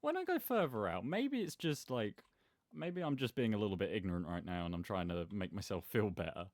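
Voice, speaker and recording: Man, gaming host, low pitch, dry close sound, rambling, self-deprecating, casual commentary. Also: very animated, fairly quick, no room sound.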